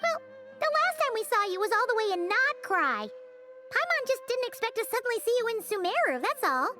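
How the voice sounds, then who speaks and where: A woman voicing a small, childlike fairy companion in a video game, squeaky and chatty. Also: big pitch swings, a little fast, over loud music. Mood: surprised, teasing. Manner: refers to self in third person, chatty.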